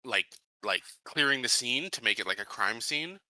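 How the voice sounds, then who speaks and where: Very bright, sharp-voiced man gaming. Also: mid-range voice, expressive, normal speed, no room sound. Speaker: tabletop game player. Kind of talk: casual in-game chat.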